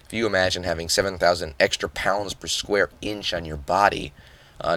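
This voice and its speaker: Young man with a very deep, hoarse nasal voice. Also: a bit bright, slight background noise. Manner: casual explanatory, with fillers. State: hoarse from yelling, just woke up. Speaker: podcast host. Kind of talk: solo podcast talk.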